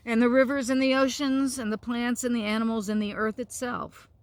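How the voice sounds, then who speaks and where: A woman pastor reading a storybook and giving an informal devotional talk for children, with gentle pauses and simple phrasing. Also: a little high, flat delivery, dry recording.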